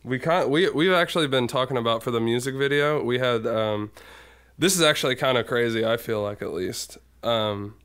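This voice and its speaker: Smooth-voiced man, rambling casual chat. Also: mid-range voice, normal speed, fairly bright tone. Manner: rambling, many fillers and "like"s. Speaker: guest musician.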